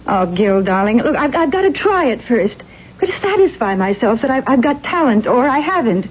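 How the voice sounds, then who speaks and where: An actress playing an eager, romantic young stage hopeful, earnest and hesitant, in an old-time radio drama. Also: female voice, fairly high pitch, very animated, moderate pace. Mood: earnest pleading. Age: young adult. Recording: dull, noisy old radio recording.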